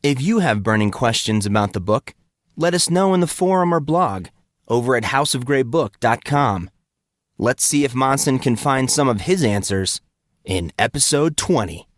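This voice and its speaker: A man's voice: mid-range voice, expressive, normal speed, no echo, clean studio sound. A man hosting an audio drama, reading a scripted episode introduction. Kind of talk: scripted recap narration.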